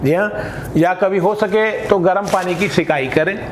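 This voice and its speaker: An Indian man giving instructional talk as a voice trainer, speaking in an emphatic, deliberate way and mixing Hindi with some English. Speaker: voice trainer for radio jockeys. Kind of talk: instructional talk with spoken demonstrations. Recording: echoey room with faint rumbling noise.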